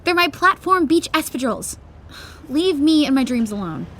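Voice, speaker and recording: A young woman playing an excitable, breezy best friend in an audio drama, slangy and playfully complaining. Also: sits high, moderate pace, a little hiss or hum. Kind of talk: naturalistic audio drama acting.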